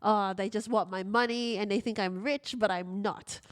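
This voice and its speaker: A woman's voice: medium pitch, steady tone, moderate pace. A young woman, co-hosting a podcast in an easygoing, agreeable way. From raised in Australia, Filipino family. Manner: easygoing, agreeable, frequent short replies. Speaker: podcast co-host. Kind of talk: casual chat.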